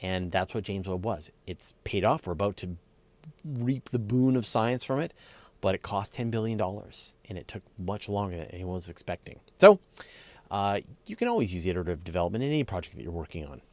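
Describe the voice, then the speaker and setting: A man's voice: low-pitched, expressive, fairly quick, darkish tone. A man hosting a live question and answer session, explaining things off the cuff in plain examples and stressing key words. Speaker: host of a live astronomy Q&A.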